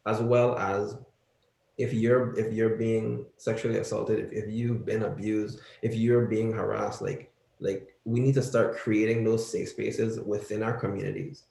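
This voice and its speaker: A man speaking earnestly as a panelist, haltingly, repeating words as he thinks. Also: lowish voice, flat delivery, vocal fry, dark tone. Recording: roomy echo.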